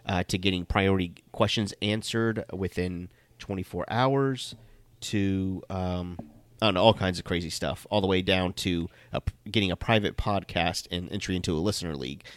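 A man with a very deep voice co-hosts a podcast, sounding stuffy as he gets over a cold. He rambles and halts, full of ums and uhs. The sound is close and dry. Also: dry recording. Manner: rambling, halting, many fillers. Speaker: podcast co-host. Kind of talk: casual chat.

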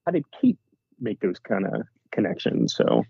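A man's voice: on the low side, wildly swooping pitch, moderate pace, gravelly, breathy edge, no echo, muffled recording. A man co-hosting a podcast, musing and wondering aloud in casual chat. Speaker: podcast co-host.

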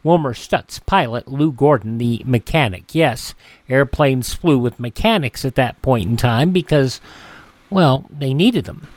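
A man's voice: mid-range voice, lively intonation, unhurried, a little mellow, no echo. A man hosting a radio show, narrating scripted introductions and history notes on air.

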